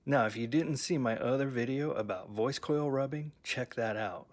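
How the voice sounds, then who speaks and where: A man casually showing off his homemade loudspeaker build, rambling and correcting himself with frequent ums and stammered restarts. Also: mid-range voice, normal speed. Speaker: DIY audio hobbyist showing his speaker build. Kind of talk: casual workshop show-and-tell.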